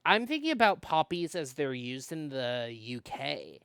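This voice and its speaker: A co-host of a book club who chats casually and reads a visual novel aloud, animated and prone to rambling asides. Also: mid-range voice, a little slow, smooth, no echo, studio quality. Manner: animated, rambling, self-interrupting. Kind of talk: casual chat mixed with dramatic read-aloud.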